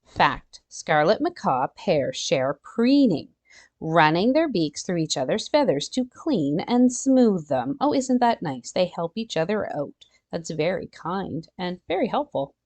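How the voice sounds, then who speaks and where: A woman's voice: lowish voice, big pitch swings, a little slow, darkish tone. A woman reading a children's nonfiction picture book aloud, expressively, with chatty asides and exclamations to her young listeners. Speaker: children's storytime reader.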